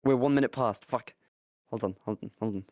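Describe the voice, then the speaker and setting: A man co-hosting a podcast in casual chat, animated and sidetracked, swearing freely. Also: medium pitch, brisk, no room sound, clean studio sound. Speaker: podcast co-host.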